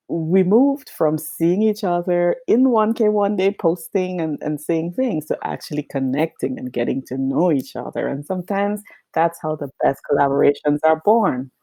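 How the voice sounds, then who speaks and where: A woman from Guyana, a guest in a casual interview who affirms warmly and often says yes. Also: low voice, no echo.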